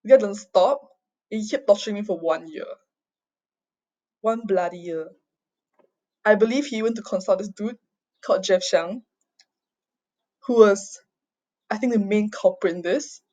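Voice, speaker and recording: A woman's voice: mid-range voice, dark tone. A young woman from Singapore speaks in a middle register on a muffled, lo-fi recording. She talks off the cuff in an agitated, distressed confessional, stopping and restarting, repeating phrases, swearing and often checking in with a short tag question. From Singapore, raised mostly overseas. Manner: halting, repetitive, sweary, often tags phrases with "okay?". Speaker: vlogger giving a personal account. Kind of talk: unscripted confessional monologue. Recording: dull lo-fi home recording.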